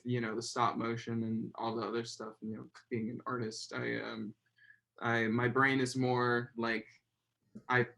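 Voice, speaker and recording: A young man talking casually as an interview guest, hesitant, with many fillers and restarts and a fairly high voice. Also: vocal fry, fairly bright tone. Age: young adult.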